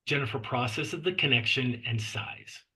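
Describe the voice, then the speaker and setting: Man, stage-direction narrator, medium pitch, sharp bright tone, husky, scripted delivery. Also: normal speed.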